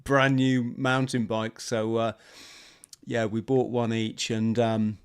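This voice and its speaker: A man hosting a podcast in relaxed conversation. His voice is smooth and clear, and he often trails off and starts his sentences again. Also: medium pitch, takes it slowly. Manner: hesitant, many restarts and fillers. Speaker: podcast host. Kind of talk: casual interview chat.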